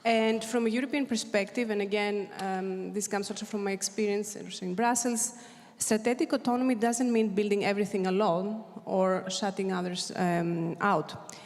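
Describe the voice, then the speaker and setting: A woman panelist delivers prepared points at a policy conference, often pausing with fillers and restarting phrases. Her voice sits at an ordinary pitch and carries a long reverb from the hall. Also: steady tone, a little mellow. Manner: hesitant, many fillers and restarts.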